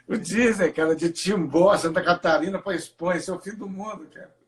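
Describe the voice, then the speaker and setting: A man's voice: high-pitched, fairly quick. A man, a pastor joining a casual livestream as a guest over a video call, joking and teasing.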